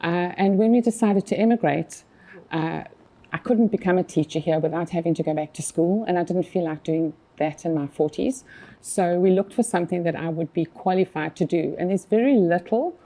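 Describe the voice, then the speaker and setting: A woman's voice: fairly low pitch, moderate pace, dark tone. A woman in her fifties from South Africa with a South African accent, chatting casually as an interview guest with many fillers and restarts. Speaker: magazine publisher guest.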